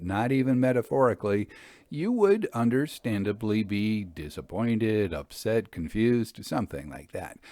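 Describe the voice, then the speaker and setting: A man reading literary narration as a storyteller, with measured, deliberate phrasing. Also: mid-range voice, a little mellow, no room sound. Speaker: storyteller, podcast host.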